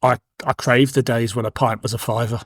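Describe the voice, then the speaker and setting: A man with a medium-pitched voice hosts a casual review show. He talks conversationally, as with a co-presenter. His voice is recorded dry, without any echo. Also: little pitch movement, brisk. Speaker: podcast host.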